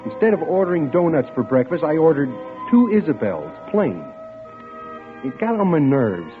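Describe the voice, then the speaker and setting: A man's voice: on the high side, big pitch swings. An American actor playing a smitten young bank clerk, telling his story in a wry, musing way in old radio suspense style. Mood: wry, brooding. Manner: wry, musing, dramatic pauses. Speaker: actor narrating a radio drama. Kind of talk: golden-age radio suspense acting, first-person narration. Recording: tinny, band-limited old radio recording with music.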